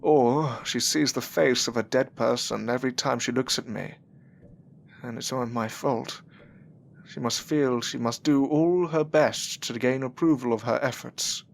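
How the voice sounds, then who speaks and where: A man's voice: medium pitch, unhurried, vocal fry. An actor playing a polite, anxious young man with a hesitant manner in an audio drama. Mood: sombre resolve. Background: music.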